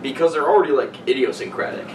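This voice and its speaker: Young man, animated delivery, casual profane podcast banter, distant mic, noisy roomy sound. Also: fairly high pitch, fast talker. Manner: crude, joking, profane. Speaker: podcast co-host. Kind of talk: casual banter and story read-aloud.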